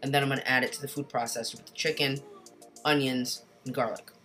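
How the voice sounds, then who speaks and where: Low, casual woman narrator for a home cooking voice-over, soft music under her. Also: fast talker. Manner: relaxed, chatty instructions with casual asides. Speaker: cooking channel host. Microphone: home voice-over mic.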